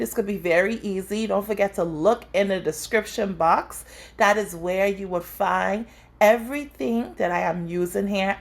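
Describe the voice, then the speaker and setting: A woman's voice: medium pitch, vocal fry. A woman from the Bahamas with a Bahamian accent, hosting a home cooking tutorial in her kitchen and chatting directly to her viewers. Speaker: cooking channel host.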